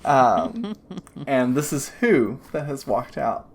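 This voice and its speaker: A woman's voice: rumbling low, big pitch swings, slow pace. A woman narrating a tabletop game as its game master, rambling with lots of fillers and laughing often.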